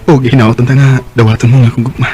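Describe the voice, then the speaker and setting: A man's voice: medium pitch, level tone, very fast, warm tone, natural voice. A man playing an earnest, hesitant, lovestruck young Cebuano working man in a Filipino radio drama. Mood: earnest, pleading. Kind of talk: Bisaya radio drama acting. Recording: music behind the voice.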